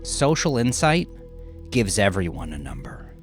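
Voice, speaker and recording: A man narrating a first-person horror story in a confessional, brooding, often hushed manner. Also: on the low side, very animated, a little slow. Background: music.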